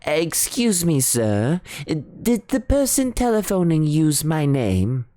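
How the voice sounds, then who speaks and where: A man voicing a deferential, anxious male butler in a high, fluttery, shocked voice for an audiobook reading. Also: sing-song, slow, mellow. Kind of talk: audiobook character voice.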